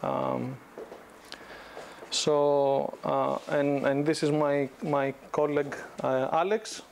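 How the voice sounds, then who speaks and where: A Greek man in his thirties speaks to a university class in a loose, conversational way, often restarting his sentences. His voice sits a little high for a man. The lapel mic keeps him close and clear in the classroom. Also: slow pace, slight background noise. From Greece. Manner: relaxed, conversational, frequent restarts and fillers. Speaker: guest lecturer. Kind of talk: informal business lecture.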